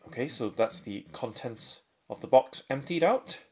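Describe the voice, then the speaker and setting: A man giving unhurried, step-by-step product unboxing commentary indoors at a tabletop. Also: medium pitch, expressive, a little mellow, slightly rough. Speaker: product reviewer. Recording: faint handling and crinkling noise. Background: faint clicks and packaging crinkle.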